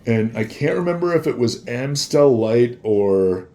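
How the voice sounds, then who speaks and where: A man's voice: mid-range voice, animated, unhurried, warm tone. A man speaking as a podcast guest, telling anecdotes casually and acting out short bits of dialogue as he goes.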